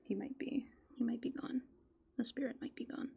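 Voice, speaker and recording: A woman playing a playful, easygoing raccoon in an ASMR roleplay, soft-spoken and casual, often dropping into whispers. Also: on the high side, steady tone, moderate pace, creaky, husky, pitched above the performer's own voice, full character voice. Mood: soft, curious. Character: playful, easygoing female raccoon living in a dumpster. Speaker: ASMR host.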